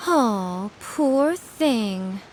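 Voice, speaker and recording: A woman voicing a sly, composed shrine woman in a video game, poised, deliberate, teasing and menacing. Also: a little high, lively intonation, darkish tone, a little hiss or hum, poor audio. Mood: coolly probing.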